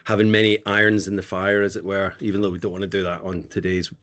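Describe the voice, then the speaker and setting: A middle-aged man with a West of Scotland Glasgow accent talks as a podcast guest over a remote link. His voice sits a bit low, and he tells stories in an animated way, often restarting his sentences. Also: quick pace. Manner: animated storyteller, frequent restarts. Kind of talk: casual conversation.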